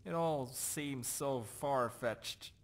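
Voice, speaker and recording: A man's voice: medium pitch, very slow. An actor playing a gloomy, disillusioned nobleman in a fantasy video game, brooding and questioning himself. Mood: weary, uncertain. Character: dour, disillusioned Nordic nobleman doubting his purpose. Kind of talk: video game character dialogue.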